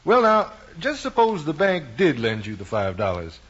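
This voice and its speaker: Genial banker, slightly husky American. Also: male voice, a little high, wildly swooping pitch, normal speed, a bit bright, exaggerated voice. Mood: kindly. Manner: folksy, avuncular, hesitating. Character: genial small-town bank president, amused and fatherly. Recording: dull, lo-fi vintage recording.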